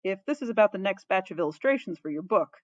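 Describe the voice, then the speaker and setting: A woman's voice: mid-range voice, animated, fast, no echo, close to an everyday voice. A woman voicing a wry, skeptical male palace hunter from a story, dry and teasing, on a slightly dull recording.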